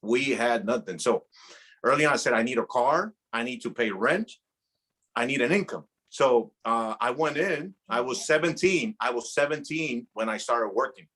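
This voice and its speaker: A man's voice: mid-range voice, normal speed. A man from Rico, in his thirties, telling his story as a podcast guest with earnest energy and frequent restarts. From Puerto Rico. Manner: earnest, animated storytelling with repeated words. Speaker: guest, insurance agency director. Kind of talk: casual podcast interview.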